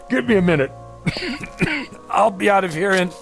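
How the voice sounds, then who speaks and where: An actor playing an exhausted, desperate lost traveler in a radio drama. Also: male voice, high voice, gravelly, full character voice, low-quality recording. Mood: indignant, faint. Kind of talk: dramatic radio acting with first-person narration.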